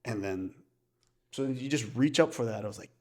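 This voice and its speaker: A man's voice: medium pitch, expressive, normal speed, darkish tone. A man co-hosting a casual podcast, talking reflectively and often checking in with his listeners. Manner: conversational, peppers talk with check-in questions. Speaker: podcast co-host.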